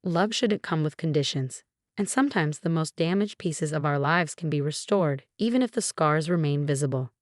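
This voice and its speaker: Female story narrator, lowish pitch, measured and earnest delivery, very dry close sound. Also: animated, no room sound. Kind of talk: scripted first-person story narration.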